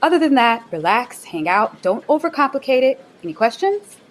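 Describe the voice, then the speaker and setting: Bubbly bossy office manager, sing-song, swoops up and down a lot, radio play. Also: female voice, fairly high pitch, big pitch swings, moderate pace, a little hiss or hum. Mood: upbeat. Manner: chirpy, sing-song, upbeat.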